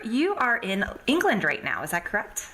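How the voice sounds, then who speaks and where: A woman's voice: on the high side, huge pitch swings, brisk, pushed and bright. A woman hosting an interview podcast in an enthusiastic, effusive way. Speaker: podcast host. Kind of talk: upbeat interview chat. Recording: noticeable background noise.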